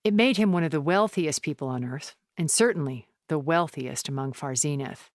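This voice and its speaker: An actress playing a poised, cultured, wealthy woman in a video game, speaking in a measured, formal, refined way. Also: low-pitched, no echo, studio quality. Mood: cool, explanatory. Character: poised, cultured, wealthy woman, a thousand years old, sounding middle-aged. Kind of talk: video game character dialogue.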